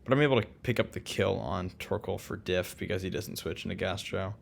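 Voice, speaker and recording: A man casually commentating a game recap, relaxed and full of ums and restarts. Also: sits low, a little fast, vocal fry. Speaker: co-host of a league recap.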